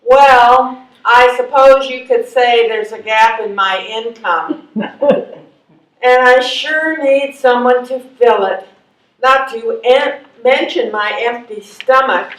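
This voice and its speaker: Woman acting a high-voiced, wry old lady, echoey hall. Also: slow pace, a bit bright. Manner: dry, sardonic, plain-spoken. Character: exasperated, wry old lady needing help. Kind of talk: readers theatre stage performance. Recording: echoey hall, distant microphone.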